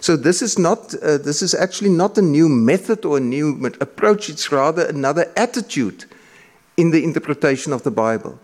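Halting Afrikaans-speaking male lecturer. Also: on the high side, animated, a little mellow. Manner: measured, halting with frequent fillers and restarts. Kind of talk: academic lecture.

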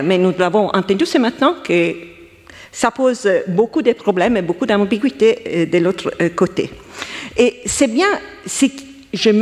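A woman with an Italian accent giving halting, self-correcting academic remarks as a round-table panelist. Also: fairly high pitch, darkish tone. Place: lecture hall. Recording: long hall reverb.

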